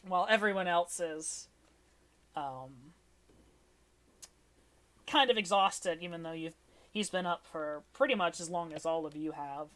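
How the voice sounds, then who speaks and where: A woman's voice: lowish voice, fairly bright tone. A woman running a tabletop game as game master, narrating casually and off the cuff with informal, wry phrasing.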